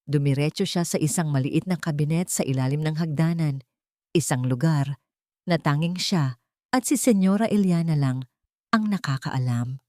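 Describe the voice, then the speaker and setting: Low-voiced woman narrating a dramatic story. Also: moderate pace, a little mellow, no echo, studio quality.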